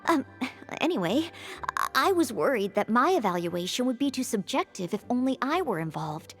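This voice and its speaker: An eager young maid of a knightly order in a video game. Her high voice swings expressively, courteous and earnest throughout, with faint music underneath. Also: big pitch swings, moderate pace, a bit bright. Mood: eager, modest. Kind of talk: video game character dialogue.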